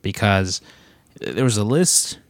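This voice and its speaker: A man's voice: on the low side, lively intonation, takes it slowly, dry recording. A man hosting a casual podcast on a cruise, joking and swearing as he rambles through stories. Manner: joking, profane, rambling storyteller. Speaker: podcast host. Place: aboard a cruise ship.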